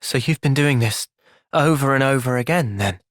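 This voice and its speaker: An earnest young British soldier from a video game cutscene, played by a male actor. He speaks at a middle pitch in a sincere, measured way, gentle yet resolute, and is recorded very dry and close. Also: no echo, close to an everyday voice. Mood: calm, considering.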